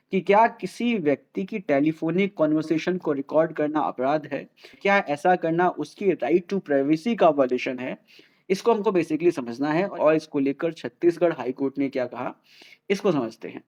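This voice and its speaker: A man's voice: fairly high pitch, lively intonation, normal speed, a little mellow. An Indian man presenting an explainer in a didactic way, repeating key points and mixing Hindi with English. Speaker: host of a legal explainer channel.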